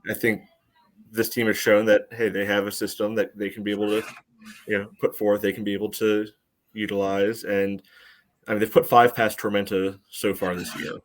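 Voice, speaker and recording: Male podcast co-host, lower register, casual sports analysis, halting delivery full of verbal fillers. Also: little pitch movement. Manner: hesitant, choppy phrasing peppered with "you know".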